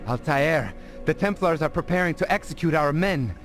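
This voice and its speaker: An actor playing an anxious, earnest resistance follower in a video game, speaking with a troubled, deferential manner. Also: male voice, fairly high pitch, animated, moderate pace, a bit bright. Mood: urgent. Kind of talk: video game cutscene acting. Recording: game audio with music behind.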